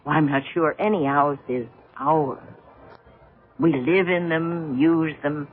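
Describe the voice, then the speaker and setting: An actress playing a reserved, uneasy middle-aged housewife in a 1970s radio drama, polite but guarded and hesitant. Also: female voice, low voice, fairly even intonation, slow pace, slightly rough, full character voice. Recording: muffled, narrow-band vintage radio recording.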